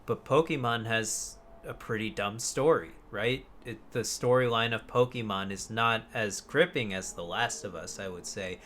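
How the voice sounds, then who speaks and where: A man's voice: lowish voice, normal speed, few pauses, fairly bright tone, a little hiss or hum. A young man co-hosting a podcast, chatting casually about video games in a rambling, informal way with plenty of swearing. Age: young adult. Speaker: podcast co-host.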